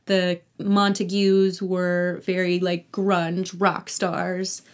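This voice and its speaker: A woman's voice: lowish voice, monotone, extremely slow, clear, resonant tone. A young woman chatting casually as a guest in an interview. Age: young adult. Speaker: interview guest, actor and singer. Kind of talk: casual chat.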